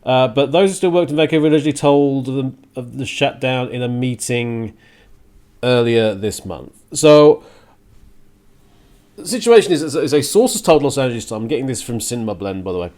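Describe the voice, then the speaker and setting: A man hosting a music radio show and podcast solo, talking casually in a halting, rambling, conversational way with a bit of a rant to it. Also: medium pitch, expressive, a little mellow. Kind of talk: casual solo podcast talk, partly ranting.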